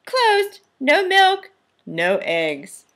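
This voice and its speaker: A woman voicing firm, demanding storybook cows, reading out their notes in a deliberate, emphatic way. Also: sits high, wildly swooping pitch, fairly bright tone, pitched above the performer's own voice, exaggerated voice.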